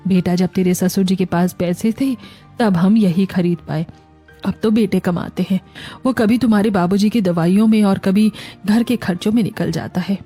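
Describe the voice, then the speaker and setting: A woman's voice: medium pitch, normal speed, warm tone, husky, faint music behind, close to an everyday voice. A woman playing an elderly mother-in-law in a cartoon family story, tender, affectionate and fretful. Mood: worried.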